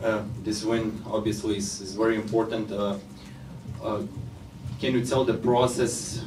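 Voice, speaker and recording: Male reporter, monotone, low voice, distant pickup in a press room. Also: measured pace, reverberant, noisy background. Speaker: journalist. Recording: distant mic.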